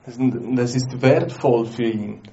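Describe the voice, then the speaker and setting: A man with a mellow, warm tone interprets a sermon live, closely following each phrase. The sound is dull and lo-fi, with a faint hiss in the background. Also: medium pitch, unhurried.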